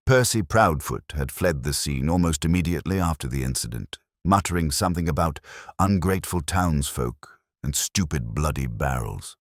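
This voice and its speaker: A man narrating a scripted mystery story in a measured, wry storytelling manner. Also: extremely low pitch, no room sound, clean studio sound.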